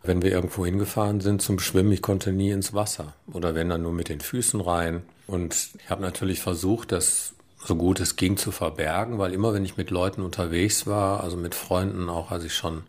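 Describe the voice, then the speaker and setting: A man shares a personal recollection in an interview. His voice is deep, with very flat intonation. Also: brisk.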